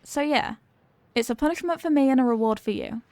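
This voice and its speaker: A woman plays a popular cheerleader girl in an ASMR roleplay, talking one-on-one to her tutor. Her voice is fairly high with a creaky edge, recorded close and dry. She is evasive and easily flustered, hesitating and getting defensive. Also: a little fast, a little mellow, no room sound. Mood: haughty, teasing. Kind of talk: ASMR roleplay, acted one-sided conversation.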